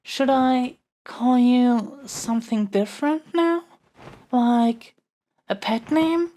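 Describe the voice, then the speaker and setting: A high, sweet-hearted young princess, acted by a woman in a fantasy audio romance. She speaks very slowly and haltingly, stammering with earnest, flustered adoration. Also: dark tone. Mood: lovestruck, nervous. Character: lovestruck young princess smitten with her dragon kidnapper.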